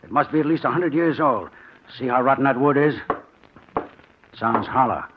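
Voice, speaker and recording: A man's voice: mid-range voice, normal speed, background noise, close to an everyday voice. Muffled old-radio tough guy, American played by an actor. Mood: eager, urgent. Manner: commanding, slangy, terse. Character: tough, steady older crook leading his younger partner. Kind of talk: 1940s radio drama acting.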